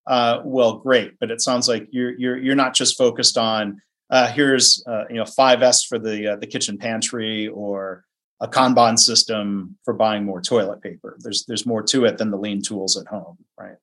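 A man's voice: fairly low pitch, steady tone, moderate pace, fairly bright tone. A man hosting a podcast who asks his guest thoughtful questions, friendly and affirming. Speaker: podcast host. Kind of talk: interview questions.

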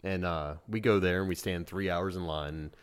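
A man's voice: low-pitched, moderate pace, smooth. A man telling an easygoing anecdote in casual chat.

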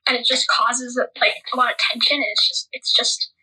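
A woman's voice: a little high, flat delivery, fairly quick, pushed and bright, breathy edge, poor audio. A nineteen-year-old woman on a video call, rambling with many likes about how stressed she feels. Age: teens. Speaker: podcast guest. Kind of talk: casual podcast chat.

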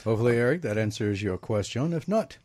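A man's voice: mid-range voice, animated, fast talker, darkish tone, no room sound. A man hosting a live call-in radio show from a studio, upbeat and laughing easily. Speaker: radio host.

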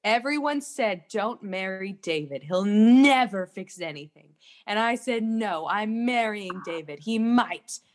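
A woman's voice: medium pitch, lively intonation, unhurried, close to an everyday voice. A woman playing an exasperated, nagging wife and mother in an improv comedy sketch.

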